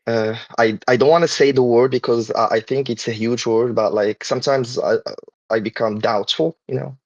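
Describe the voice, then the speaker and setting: A man's voice: medium pitch, steady tone, normal speed, no echo. A man from Morocco hosting a casual podcast chat, talking with many fillers and restarts. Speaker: podcast host. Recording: dull lo-fi dry sound.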